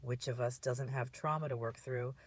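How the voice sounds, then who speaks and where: A woman playing a composed, decisive community leader in an audio drama, speaking in a measured, diplomatic way. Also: a bass voice, fairly even intonation, no room sound. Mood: thoughtful, assured. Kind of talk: fan audio drama dialogue.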